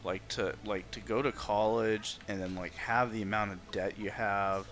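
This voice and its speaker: Low-voiced man in loose casual chat. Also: fairly even intonation, normal speed, fairly bright tone.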